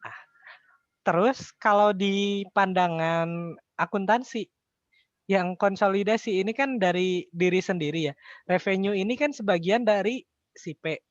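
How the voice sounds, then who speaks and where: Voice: mid-range voice, unhurried, pauses often, no room sound. Casual Indonesian tutor, dry muffled sound. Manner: casual, colloquial, step-by-step. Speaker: accounting tutor. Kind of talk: informal tutoring explanation with rhetorical questions.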